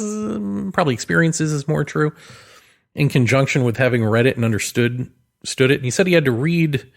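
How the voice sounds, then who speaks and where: A man's voice: mid-range voice, gravelly. A man hosting a solo podcast in a casual, rambling way, hesitant as he thinks aloud, with a wry, self-deprecating manner. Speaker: podcast host and author.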